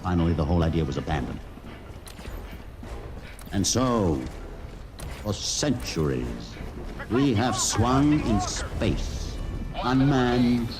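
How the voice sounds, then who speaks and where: An actor playing a grave, bitter mutant outcast leader in old-time radio drama, with solemn and deliberate theatrical delivery. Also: male voice, medium pitch. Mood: grave, brooding. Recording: old radio broadcast with music over it.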